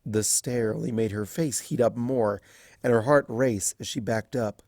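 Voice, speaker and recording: A man reading a fan story aloud as an expressive storybook narrator. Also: medium pitch, moderate pace, creaky, no echo, clean studio sound. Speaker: fan fiction reader.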